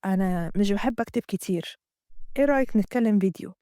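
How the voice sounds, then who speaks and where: A woman voicing a smooth-talking, manipulative young man with an Egyptian accent and a low, soft murmur. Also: fast, no room sound, studio quality, lightly acted. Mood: low-key. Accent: Egyptian Arabic. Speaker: storyteller voicing a character.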